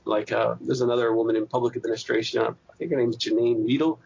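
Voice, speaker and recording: A man answering interview questions at length in a thoughtful, halting academic way, with frequent restarts and fillers. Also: mid-range voice, fairly even intonation, gravelly, a little mellow, slight background noise. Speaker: interview guest, PhD candidate. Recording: dull lo-fi podcast audio.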